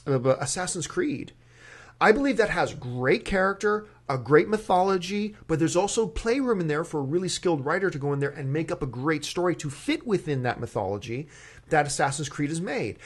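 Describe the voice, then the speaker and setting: A man's voice: fairly high pitch, husky. A man hosting a movie talk show, giving opinions in casual, emphatic speech and repeating words for stress.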